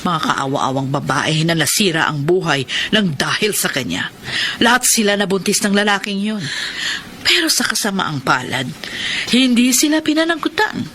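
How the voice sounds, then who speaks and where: A woman's voice: fairly low pitch, very animated, normal speed, sharp tone. A woman playing a stern, protective middle-aged aunt in a radio drama, grave and insistent, with a Filipino accent. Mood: stern, accusing. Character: Tita Lia, stern protective meddling aunt. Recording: noisy background, some music.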